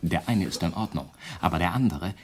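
A man's voice: low-pitched, animated, fairly quick, breathy edge. An actor playing a chummy, naive male office colleague in a German radio crime play, easygoing and helpful. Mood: earnest.

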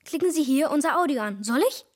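An actress playing a curious, excitable young assistant ghost hunter, speaking eagerly and informally in a children's radio drama. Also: female voice, high voice, fast.

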